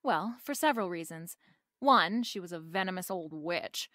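A woman's voice: on the low side, animated, normal speed, a bit bright, no echo, studio quality. An actress playing a wry, confident female private detective in a radio drama, with a breezy, slangy, wisecracking delivery. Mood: wry, explaining.

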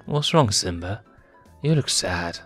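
A man voicing a caring adult lioness mother in an amateur comic dub, gentle and advising. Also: low voice, animated, takes it slowly, faint music behind, heavily acted. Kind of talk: amateur comic fandub acting.

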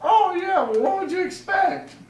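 Guy putting on a loud cartoon cow, super high, foul-mouthed puppet comedy, echoey far mic. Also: moderate pace, a little hiss or hum. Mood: chipper. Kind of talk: amateur comedic puppet show. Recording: distant camera mic in echoey room.

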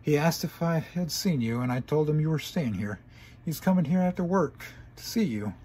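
Man doing a bookish young pony mare, exasperated, pleading, raised pitch, breathy, storybook reading. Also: expressive, moderate pace, a little mellow. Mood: earnest. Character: earnest young female pony scholar, scolding yet caring.

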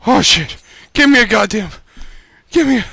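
A man role-playing with friends in an online game, loud and dramatic with exaggerated groans. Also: high voice, expressive, moderate pace, creaky, breathy edge, no echo, clean studio sound. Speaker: game player.

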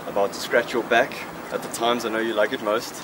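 A man's voice: mid-range voice, level tone, brisk. A young man reading his wedding vows at an outdoor ceremony, earnest with a light joking touch. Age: young adult. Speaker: groom. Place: outdoor wedding under trees. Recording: loud outdoor noise.

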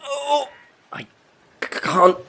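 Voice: high voice, expressive, very slow, vocal fry, sharp tone, heavily acted. An actor playing a wary, sarcastic human stranded by the sea in an audio play, with a dry, questioning manner. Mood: nervous.

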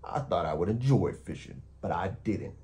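A man's voice: deep voice, big pitch swings, normal speed, warm tone. A man voicing a menacing but fond male storybook monster with a growl, speaking with theatrical, hungry relish. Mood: boastful, relishing. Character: menacing, hungry, affectionate old-hand male under-bed monster.

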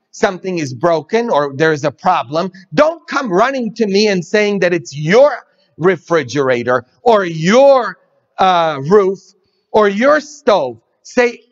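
A man's voice: high-pitched, voice pushed up, heavily acted. A man voicing a weary older priest, patiently lecturing his housekeeper. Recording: muffled with church reverb.

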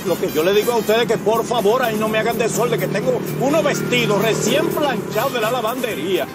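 A man's voice: high-pitched, fast, lightly acted. An actor playing a fussy, harried driver who scolds his passengers with a Dominican accent. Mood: scolding. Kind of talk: comedy film acting. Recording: loud music, vehicle sounds.

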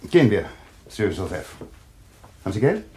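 A man's voice: fairly low pitch, big pitch swings, moderate pace, dark tone. An actor in a German radio play playing a self-assured male doctor, authoritative, clinical and reassuring. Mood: calm, directing.

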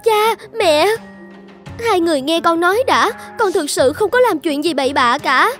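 A woman voicing a naive young daughter in an animated folktale. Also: extremely high pitch, moderate pace. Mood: alarmed, pleading. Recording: music bed under the voice.

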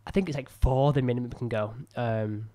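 Young man, croaky from illness, rambling self-critical commentary, close desk mic, small room. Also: mid-range voice, steady tone, brisk, warm tone. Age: young adult. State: ill, croaky voice. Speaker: filmmaker reviewing his own work. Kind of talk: casual commentary over footage. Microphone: studio mic on a boom arm close to mouth.

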